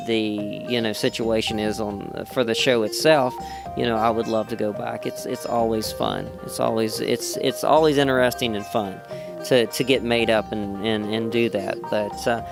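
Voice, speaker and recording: A man's voice: mid-range voice, gravelly. A man from West Virginia, an actor, answering interview questions at a fan convention in a rambling way full of "you know" fillers and restarts. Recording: music loud behind the voice. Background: music playing.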